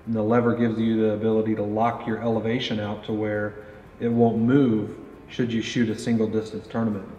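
A man's voice: fairly low pitch, flat delivery, brisk, mellow. A man in his thirties casually interviewing a guest and explaining archery gear, with many fillers, at an indoor range. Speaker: company pro staff interviewer. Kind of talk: product interview. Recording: roomy echo, dull, faint noise.

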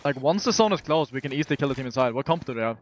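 Very fast-talking male gamer, gunfire behind. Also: mid-range voice, expressive. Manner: urgent, repeats calls, casual swearing. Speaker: esports player calling strategy for the team. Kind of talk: rapid in-game team callouts over voice chat. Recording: game gunfire and vehicle noise behind. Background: shooter game gunfire and effects.

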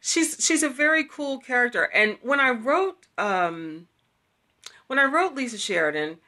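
Bright-voiced enthusiastic woman host. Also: a little high, sing-song, a little slow. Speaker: host, writer and producer of an audio drama series. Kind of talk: casual promotional video chat. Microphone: phone at arm's length.

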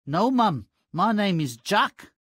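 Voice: mid-range voice, very animated, takes it slowly, a bit bright, husky, no echo, studio quality, heavily acted. An actor voicing a hungry, dim, lazy peasant boy with a whining, nonchalant manner in a cartoonish game. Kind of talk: cartoonish video game acting.

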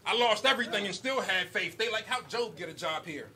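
A man's voice: high-pitched, lively intonation, a little fast. A man performing a rap battle with punchy, rhythmic delivery, chuckling now and then between his bars. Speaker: battle rapper. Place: indoor basement battle venue with crowd. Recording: loud live crowd recording. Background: crowd cheering and shouting.

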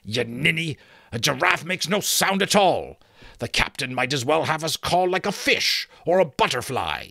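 A man's voice: mid-range voice, big pitch swings, a little slow, creaky, sharp tone, dry recording, clean studio sound, pitched above the performer's own voice, exaggerated voice. A man voicing a hot-tempered, blustering giant outlaw in a storybook, with old-fashioned rustic turns of speech. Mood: furious.

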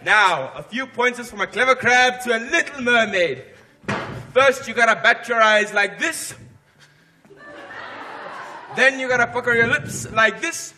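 A man's voice: very high-pitched, animated, a little slow, pauses often, exaggerated voice. A young man doing a sing-song Jamaican-accented crab. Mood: coaxing. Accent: put-on Jamaican. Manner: sing-song, playful coaching. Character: wisecracking male crab with a Jamaican lilt. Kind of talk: stage musical performance. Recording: live stage with loud music.

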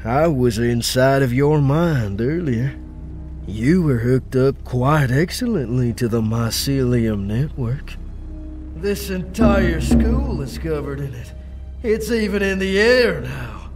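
Man acting a smug, slow-talking manic fungal doctor. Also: mid-range voice, animated, a little mellow, faint music behind. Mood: softly sinister. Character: fungus-infected male doctor, smug, manic cult-like persuader. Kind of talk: comic dub voice acting.

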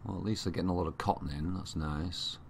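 A man with a Yorkshire accent giving casual, unhurried gaming commentary, thinking aloud to himself. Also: low voice, fairly even intonation, vocal fry, slight background noise. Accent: Yorkshire English. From Yorkshire, England. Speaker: gamer commentating own play. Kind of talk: casual let's play commentary. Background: strategy game ambience.

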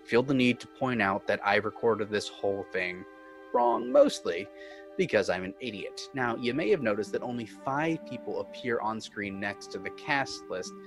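A man's voice: on the low side, animated, moderate pace. A man working as narrator and host of a fan script reading. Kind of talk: reading screenplay directions, host outro. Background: music.

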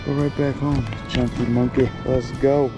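A man in his thirties, a fishing vlogger, chatting casually and swearing from his kayak, with excited whoops when a fish bites. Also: mid-range voice, a little slow, over music. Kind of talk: casual outdoor vlog chat. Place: outdoors in a kayak on a river. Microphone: action camera on the kayak.

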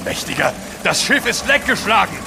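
An actor in a German radio drama playing an anxious, impatient expedition member. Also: male voice, sits high, sing-song, normal speed, gravelly, heavy background noise, heavily acted. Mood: alarmed.

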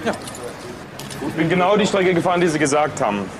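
Lively-pitched, sceptical male investigator. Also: brisk, background noise. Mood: matter-of-fact. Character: matter-of-fact, sceptical male junior detective. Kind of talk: crime radio drama dialogue.

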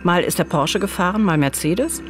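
A woman's voice: fairly low pitch, normal speed, music in the background. A woman in her forties co-presenting a true-crime case in conversational, scripted narration on a studio microphone. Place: indoor radio studio. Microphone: studio boom microphone.

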